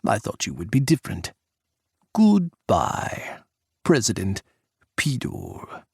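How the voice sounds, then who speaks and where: Casting: male narrator doing a gruff, gravelly Russian head of state, arrogant and dismissive, dry close sound. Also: on the low side, huge pitch swings, slow pace, no echo, heavily acted. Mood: scornful. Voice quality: rough, grating.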